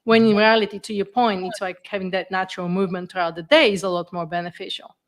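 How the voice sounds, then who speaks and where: Woman host, warm conversational interviewer, mid-range voice. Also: mid-range voice, steady tone, quick pace. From Bulgaria. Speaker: podcast host.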